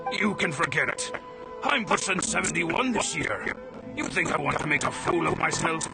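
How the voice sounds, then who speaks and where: An actor playing a proud, grumpy seventy-year-old former merchant with a creaky, grumbling delivery in a video game. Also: male voice, on the high side, moderate pace. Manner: grumbling, curmudgeonly. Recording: game music under the voice.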